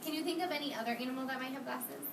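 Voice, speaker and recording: A woman with a high-pitched voice works as a kindergarten teacher, reading a story aloud to young children. She keeps stopping to question and encourage them. The sound is roomy and picked up from a distance, with clear classroom noise behind her. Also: racing. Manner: encouraging, questioning, praising pupils.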